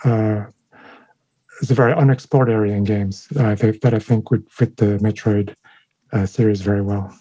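A man whose first language is Chinese, speaking as a guest in an interview. He talks in a thoughtful, halting way with many fillers, in a mellow, darker tone. The recording sounds dull and lo-fi, with no room echo. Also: on the low side, fairly even intonation, husky. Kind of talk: interview conversation.

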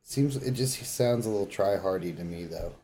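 A man's voice: sits low, normal speed, dark tone, slight background noise. A man co-hosting a podcast, chatting casually about games with crude, informal joking. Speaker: podcast co-host. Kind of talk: casual gaming chat.

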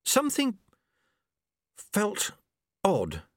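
A man's voice: sits high, huge pitch swings, very slow, no room sound, clean studio sound, voice pushed up, full character voice. A man voicing a dazed, hesitant young apprentice who answers in a vague, faltering way.

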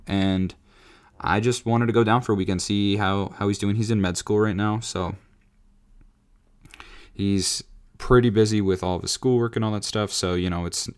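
Raspy-voiced young man rambling on his podcast. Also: fairly low pitch. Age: 20s. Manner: rambling, self-correcting, frequent fillers. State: raspy from singing. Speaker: podcast host. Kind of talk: rambling solo podcast chat.

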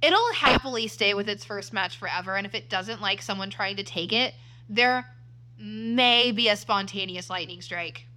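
Bright-voiced young woman, close-miked. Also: mid-range voice, moderate pace. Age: 20s. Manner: rambling, self-correcting, laughs often. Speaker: podcast co-host. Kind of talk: casual chat. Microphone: too close to the mic.

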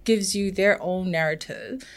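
A woman's voice: fairly low pitch, a little slow. Middle-aged woman, reflective. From Samoa. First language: Samoan. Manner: earnest, reflective, many fillers and restarts. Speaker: hula teacher, guest. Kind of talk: casual conversation.

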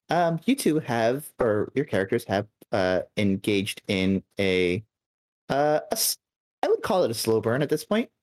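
A man's voice: on the low side, a little mellow. A man hosting a casual podcast interview, rambling and thinking aloud with many fillers. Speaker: podcast host.

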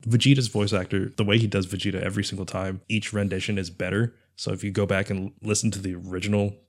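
A young man co-hosting a casual podcast, explaining details at length in a steady way. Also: on the low side, quick pace. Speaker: podcast co-host. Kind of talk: casual chat.

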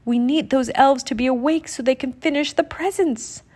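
A woman voicing an eager, earnest young girl in a storybook, exclaiming brightly. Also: sits high, normal speed, voice pushed up.

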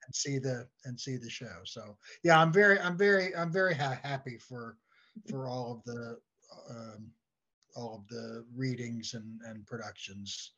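An older man chatting as an interview guest, halting and repeating words as he searches for his phrasing. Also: medium pitch, fairly bright tone. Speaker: guest, playwright and comedy writer. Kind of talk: casual interview chat. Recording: dull, lo-fi voice track.

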